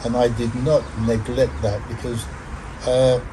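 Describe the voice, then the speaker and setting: An older man from Nigeria, talking slowly and deliberately as an interview guest in a studio. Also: medium pitch, little pitch movement, background noise. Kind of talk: conversational interview.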